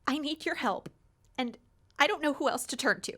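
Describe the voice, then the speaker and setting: A woman's voice: high-pitched, lively intonation, normal speed, pushed and bright. A woman playing a distressed, pleading neighbor around thirty in an audio drama. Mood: urgent pleading. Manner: pleading, emotive. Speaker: actor.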